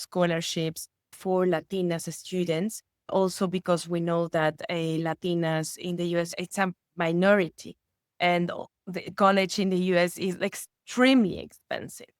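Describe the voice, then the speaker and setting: A woman's voice: lowish voice, measured pace, clear, resonant tone, dry recording, studio quality. Earnest woman. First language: Spanish. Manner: earnest, with frequent restarts and rising excitement. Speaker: guest expert. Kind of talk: conversational podcast interview.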